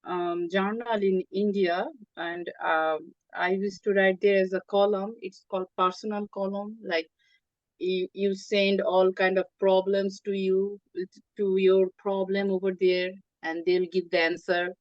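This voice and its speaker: A woman from Bangladesh, a native Bengali speaker, talking as a guest in an interview, hesitant with many pauses and fillers. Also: medium pitch, level tone, dry recording, muffled recording. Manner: hesitant, many ums, halting phrasing. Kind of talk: interview conversation.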